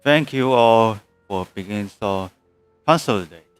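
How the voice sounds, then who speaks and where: A man's voice: fairly low pitch, expressive, slow pace, clear, resonant tone, dry recording, faint music behind. A man live streaming a game, giving casual short reactions on a close microphone.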